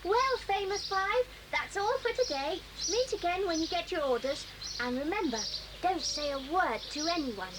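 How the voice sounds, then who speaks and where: A bossy little schoolgirl, boastful and sly. The voice is high and very animated, commanding in a children's radio-play way, on a clearly noisy old recording. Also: normal speed. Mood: hushed, solemn. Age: child figure. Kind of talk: children's audio drama acting.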